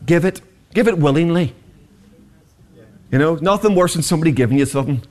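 A man in his forties preaches in a high voice that swoops constantly. His delivery is passionate and rhetorical, with joking asides to the congregation. The pitch climbs and falls over a very wide span. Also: expressive, a little fast, noisy background. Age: 40s. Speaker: pastor. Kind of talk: sermon.

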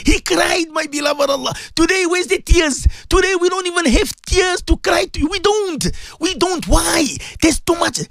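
High-pitched South African man, pleading in prayer. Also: moderate pace, creaky, few pauses, a bit bright, no echo, clean studio sound. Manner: pleading, repetitive, emotional exhortation. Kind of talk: impassioned devotional prayer sermon.

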